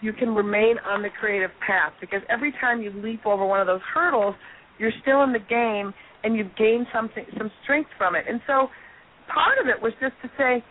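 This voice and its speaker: A hoarse middle-aged woman, a comedian and guest, telling a self-deprecating story in an animated way on a radio interview. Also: on the high side, a little fast. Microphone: telephone. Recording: tinny phone line.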